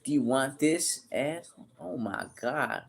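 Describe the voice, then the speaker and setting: A man in his early thirties livestreaming, reading chat aloud and joking crudely, with sudden loud outbursts. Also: medium pitch, measured pace, gravelly. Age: 30s.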